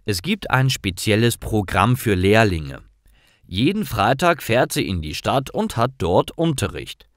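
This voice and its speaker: Low-pitched man narrating, animated intonation. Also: a little slow, no echo, clean studio sound. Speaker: narrator of language-learning stories. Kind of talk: scripted narration for learners.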